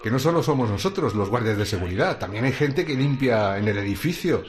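A chatty middle-aged night guard who grumbles his way through a cold shift in a radio play, played by a man. His voice is mid-pitched and everyday. A soft bed of music runs behind him. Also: animated, a little fast, natural voice. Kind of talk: radio drama acting.

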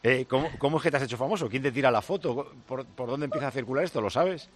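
Male radio host, Spain Spanish, mid-pitched voice, informal and teasing chat. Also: quick pace, crowd noise. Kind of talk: casual radio chat and interviewing.

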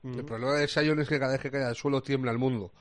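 A male basketball commentator with an accent from Spain and a mid-range voice. He talks casually and colloquially, halting often as he thinks aloud. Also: medium pitch, fairly even intonation. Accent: Spanish from Spain. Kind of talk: casual sports discussion.

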